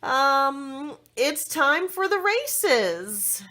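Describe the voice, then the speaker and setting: A woman voicing an excitable, flustered young princess in a children's storybook. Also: sits high, very slow, voice pushed up, heavily acted. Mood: flustered, apologetic. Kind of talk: children's storybook read-aloud.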